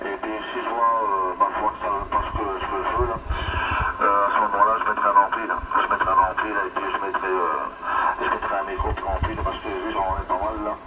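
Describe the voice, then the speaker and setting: A man's voice: fairly low pitch, level tone, brisk, dull audio. A man chats casually over two-way radio, hesitating and repeating his phrases. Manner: hesitant, repetitive, tags phrases with filler words. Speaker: amateur radio operator. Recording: noisy, distant two-way radio transmission.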